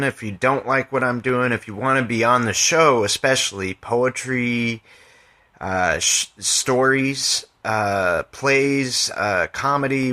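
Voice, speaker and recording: Slow, bright-voiced man sharing poetry. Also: mid-range voice. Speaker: podcast host and poet. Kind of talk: reading own poems with casual commentary.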